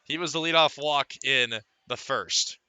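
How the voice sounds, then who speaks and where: Bright, forceful male baseball announcer. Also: mid-range voice, unhurried, smooth, no echo. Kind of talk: live radio sports commentary.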